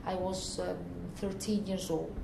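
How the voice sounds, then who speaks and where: A woman's voice: fairly low pitch, level tone, unhurried, gravelly. An Egyptian woman speaking English with an Egyptian accent, earnestly recounting her experiences in a conversational interview and often restarting to find her words. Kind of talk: conversational personal account. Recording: echoey room with faint ambient noise.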